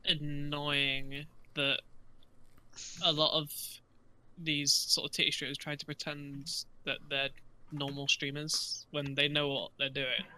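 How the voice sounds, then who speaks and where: A man's voice: fairly high pitch, level tone, slow pace, pushed and bright, clear, resonant tone, low-quality recording. A man giving his opinion in casual group chat.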